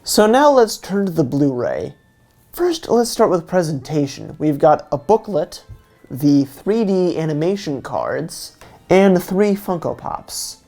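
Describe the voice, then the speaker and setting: A man narrates a scripted review with earnest enthusiasm. His mellow voice has plenty of fry and swings widely in pitch. Faint music plays behind him. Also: big pitch swings, takes it slowly. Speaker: video reviewer.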